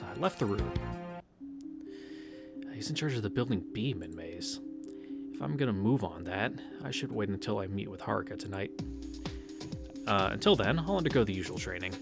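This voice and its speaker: A man with a slightly low voice hosts a visual novel read-through. He sounds laid-back and rambling, switching between casual chat and reading aloud, with clear game music behind him. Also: lively intonation, fairly quick, pauses often. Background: game background music.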